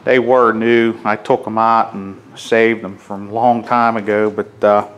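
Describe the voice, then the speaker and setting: A man's voice: fairly low pitch, level tone, unhurried, fairly bright tone, dull audio, a little hiss or hum. A middle-aged man, a mechanic, talking casually and ramblingly off camera while he shows his work in a garage workshop. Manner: folksy, rambling, plainspoken. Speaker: mechanic and chainsaw hobbyist. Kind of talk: casual workshop how-to vlog. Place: cluttered garage workshop, indoors.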